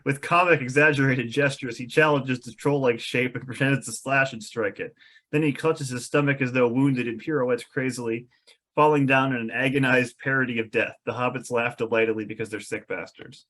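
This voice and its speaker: A male narrator reads scene directions from a script. His voice sits at a middle pitch, in the style of scripted narration for a table read. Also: steady tone, a little fast, a bit bright.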